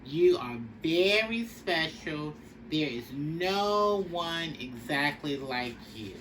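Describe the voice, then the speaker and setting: Female storybook reader, instructive and very measured, slightly noisy recording. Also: low-pitched, animated, a bit bright. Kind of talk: read-aloud of a children's picture book. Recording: distant mic with faint background noise.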